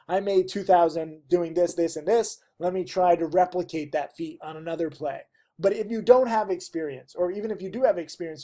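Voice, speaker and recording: A man's voice: high voice, warm tone. A man teaching his students, stuffy and losing his voice, pleading with them to study. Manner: emphatic, pleading. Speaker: trading teacher. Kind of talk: direct-to-camera lesson.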